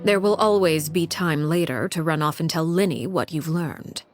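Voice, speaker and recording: A woman plays an aristocratic game diplomat with a fairly low voice and cool menace. Every phrase is formal, measured and poised. A long reverb surrounds the voice, with music underneath. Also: lightly acted. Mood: cool, businesslike. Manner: formal, measured, veiled threats. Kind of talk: video game voice acting.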